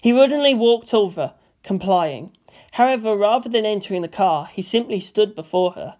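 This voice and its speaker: Animated woman narrating a story. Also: medium pitch, moderate pace. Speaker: audiobook narrator. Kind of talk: scripted fan fiction narration.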